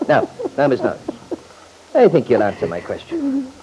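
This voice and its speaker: A man's voice: medium pitch, wildly swooping pitch, normal speed. An actor playing an insistent, coaxing courtroom lawyer in an old-time radio drama, formal and stammering as he presses. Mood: forceful, pressing. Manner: pressing, formal, repeats himself with stammered lead-ins. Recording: noisy vintage radio recording.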